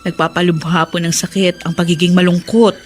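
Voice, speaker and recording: A woman acting a caring, worried adult daughter in a Filipino radio drama, earnest, respectful and gently scolding. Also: fairly low pitch, flat delivery, fairly quick, soft background music, natural voice. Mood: concerned, gentle.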